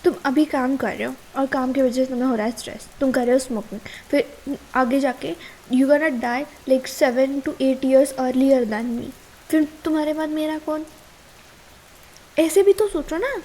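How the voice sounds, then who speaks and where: Casting: woman to play young Indian girlfriend, intimate ASMR drama. High-pitched, slow delivery, chiding and pleading, worried underneath. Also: warm tone, low-quality recording. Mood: worried, exasperated. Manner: pleading and chiding, switching between Hindi and English. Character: worried, scolding young girlfriend, exasperated but caring. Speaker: ASMR roleplay creator.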